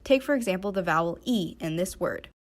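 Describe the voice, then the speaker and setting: A woman's voice: fairly low pitch, lively intonation, brisk. A young adult woman reading a scripted narration for a student slideshow presentation. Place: voice-over recorded for slides.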